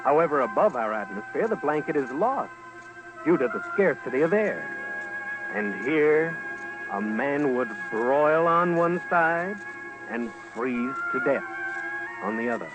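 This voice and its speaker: A man reads dramatic scripted documentary narration with a solemn, portentous and deliberate delivery, as on a vintage radio broadcast. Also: medium pitch, expressive, rough recording. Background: loud background music.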